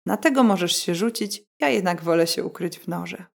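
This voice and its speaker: A woman's voice: lowish voice, normal speed, creaky. A sly storybook fox, played by a woman reading aloud, knowing and sure of himself. He gives advice in a confident, lecturing way, as in a bedtime fairy tale. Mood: sly, assured. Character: sly, knowing male fox, coolly advising.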